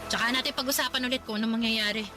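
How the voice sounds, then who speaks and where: A woman voicing a blunt, sharp-tongued pregnant young woman in a radio drama, over background music. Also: fairly high pitch, steady tone, very fast, close to an everyday voice. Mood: scolding. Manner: sharp, scolding. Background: music bed.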